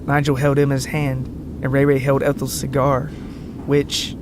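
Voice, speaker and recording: A man's voice: mid-range voice, completely flat delivery, unhurried, background noise. A man narrating a scripted podcast story in a dramatic, tongue-in-cheek way. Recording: music behind the voice. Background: background music.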